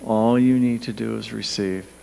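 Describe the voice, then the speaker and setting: A man leading a guided meditation, speaking gently and soothingly in short, spaced phrases with pauses. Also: on the low side, fairly even intonation, gravelly, a little hiss or hum. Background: background music.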